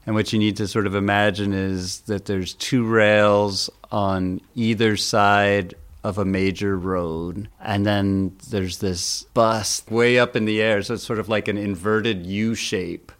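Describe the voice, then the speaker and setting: A man's voice: on the low side, fairly even intonation, measured pace, few pauses, a bit bright. A man speaking as a guest editor, explaining a news story in an informal, plain-spoken, conversational way. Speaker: senior editor, interviewed guest.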